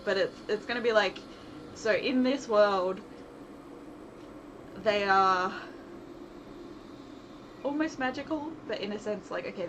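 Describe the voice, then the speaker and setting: A woman livestream host chatting casually while she works, rambling and often trailing off mid-thought. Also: mid-range voice. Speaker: livestream host, visual novel developer. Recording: loud background noise, amateur livestream.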